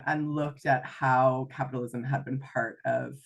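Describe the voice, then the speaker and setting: A Canadian woman in her thirties, her accent softened by an Irish lilt, talking through her ideas reflectively with fillers and asides as an interview guest. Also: a bass voice, fairly even intonation, a little slow. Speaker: guest, life coach. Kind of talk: conversational interview.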